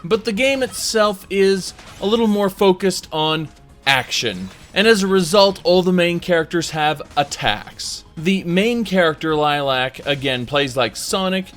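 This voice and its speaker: A man delivering an informal video game review in a conversational, emphatic way, pausing for dramatic effect. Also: high-pitched, expressive, fairly bright tone. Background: music under the voice.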